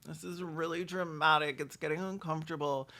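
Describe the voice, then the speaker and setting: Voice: mid-range voice, fairly even intonation, a little fast, pushed and bright. Snarky reaction host with a dry delivery. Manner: snarky, dry, crude joking. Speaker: host of a reaction video show. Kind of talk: sarcastic comic commentary.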